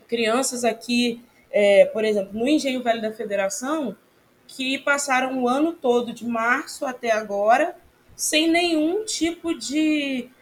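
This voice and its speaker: A woman, giving a reflective interview answer and often pausing and repeating words as she thinks. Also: on the high side. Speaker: community church member.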